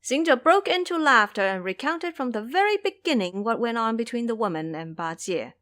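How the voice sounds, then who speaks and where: A woman's voice: fairly high pitch, lively intonation, moderate pace, fairly bright tone. A woman narrator telling a story in a dramatic storybook style for an audio drama.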